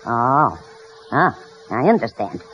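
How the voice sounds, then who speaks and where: Older grandmother, swooping expressive delivery, wry and candid, muffled old radio play. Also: very deep voice, takes it slowly, heavily acted. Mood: touched, surprised. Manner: wry, plain-spoken, expressive. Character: feisty, wry old grandmother, candid mother of a snobbish son. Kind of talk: 1940s-50s radio comedy acting. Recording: muffled, hissy vintage radio recording.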